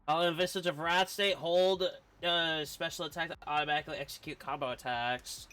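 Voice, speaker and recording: A man's voice: a little high, fairly even intonation, a little fast, sharp tone, clean tone. A man streaming a video game with casual commentary, reacting and reading along. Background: game music and sounds.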